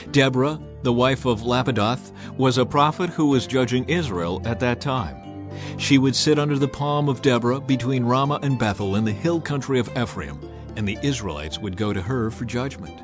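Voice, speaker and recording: A man reading scripted scripture narration in a measured, solemn storytelling manner. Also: medium pitch. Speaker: narrator of a dramatized audio Bible. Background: music under the voice.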